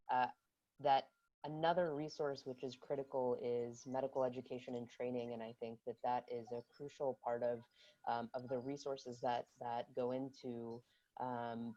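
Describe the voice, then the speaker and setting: An American physician speaking hesitantly as a panelist in an unscripted seminar, thinking aloud, on dull low-fidelity audio. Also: darkish tone, no echo. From United States.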